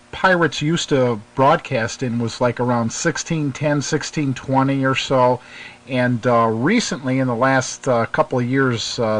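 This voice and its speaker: A man hosting a casual hobby radio chat, talking in a halting, hesitant way as he interviews. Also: mid-range voice, fairly bright tone. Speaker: host, interviewer.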